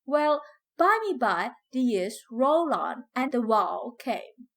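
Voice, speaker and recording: Woman acting a proud older Southern storyteller, slow, expressive. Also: fairly high pitch, exaggerated voice. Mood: reminiscing. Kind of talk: dramatic first-person storytelling in dialect.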